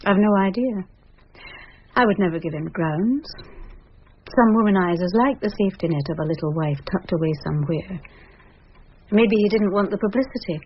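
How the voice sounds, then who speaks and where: An actress playing a cold, scornful estranged wife in her forties in a radio crime drama, with a husky voice. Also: medium pitch, moderate pace, long pauses, slight background noise, close to an everyday voice. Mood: cold triumph. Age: 40s. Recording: dull, muffled radio recording.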